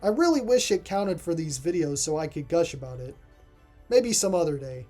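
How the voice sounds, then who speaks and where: Reviewer doing a scripted video essay voiceover, mellow soft-edged tone, medium pitch, faint music behind. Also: big pitch swings, normal speed.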